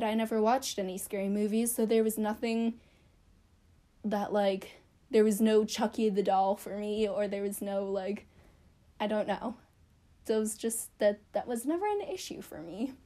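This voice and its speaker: A woman's voice: mid-range voice, dark tone. A young woman chatting casually to camera about her childhood, rambling and self-deprecating with lots of fillers. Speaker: vlogger.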